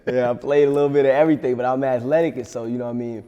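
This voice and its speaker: A man's voice: mid-range voice, fairly even intonation, very fast, warm tone, smooth, big-room sound, dull audio. A nineteen-year-old man from Long Island with a slight New York accent, talking casually and slangily as a guest in an interview. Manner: laid-back, slangy, laughs often. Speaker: rapper guest.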